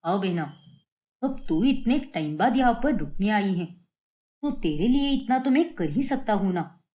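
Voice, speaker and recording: A woman's voice: mid-range voice, lively intonation, unhurried, mellow, lightly acted. A woman voicing a caring, doting young brother in a cartoon family story. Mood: affectionate.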